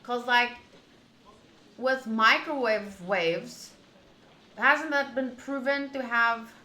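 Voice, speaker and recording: A woman streaming a visual novel, reading text aloud and thinking out loud in an animated, rambling way. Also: fairly high pitch, slow. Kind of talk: reading game text aloud with casual commentary. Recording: roomy echo, faint background noise.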